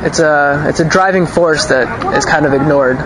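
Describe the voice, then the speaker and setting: A young man with a slightly higher voice, talking outdoors with loud traffic noise behind him. He rambles loosely, full of fillers and restarts, as a student being interviewed on the street. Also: moderate pace, a crowd in the background. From Ukraine, raised in Los Angeles. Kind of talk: street interview, casual opinions. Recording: noisy outdoor street recording.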